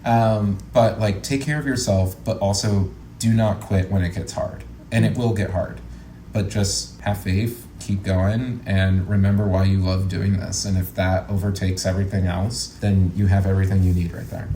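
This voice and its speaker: A man in his twenties with a fairly low voice talks casually as a podcast guest. He is earnest and encouraging, with a jokey side. The room echoes and there is faint noise behind him. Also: fairly even intonation, moderate pace, warm tone. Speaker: guest, actor and producer. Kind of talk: casual interview chat. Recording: roomy echo, faint background noise.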